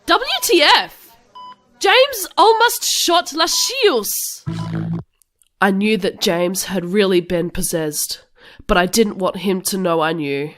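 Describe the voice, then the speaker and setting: A woman's voice: mid-range voice, big pitch swings, slow. A woman voicing a gushing, melodramatic teenage goth girl vampire, narrating parody fan fiction in an over-the-top dramatic way. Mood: dramatic, indignant. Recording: loud music behind the voice.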